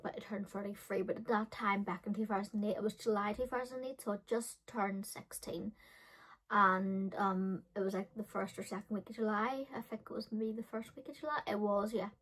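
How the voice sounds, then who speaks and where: A woman's voice: mid-range voice, steady tone, normal speed, a bit bright, husky, rough recording. A woman in her early thirties telling a personal story casually to viewers, rambling and often correcting herself with lots of fillers. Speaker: beauty and storytime vlogger.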